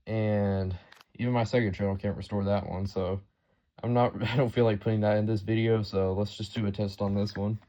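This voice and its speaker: Low-voiced man casually showing off gadgets. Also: little pitch movement, a little fast, lo-fi sound, noisy background. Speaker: host showing a weather radio collection. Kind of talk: casual show-and-tell narration.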